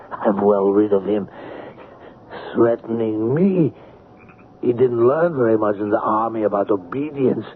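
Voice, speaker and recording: An actor playing a frightened, guilt-ridden colonial trading agent going mad, with theatrical, halting delivery in an old radio drama. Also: male voice, on the low side. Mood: bitter, gloating. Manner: theatrical, halting, breaking into gasps and cries. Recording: noisy, band-limited tinny vintage radio broadcast.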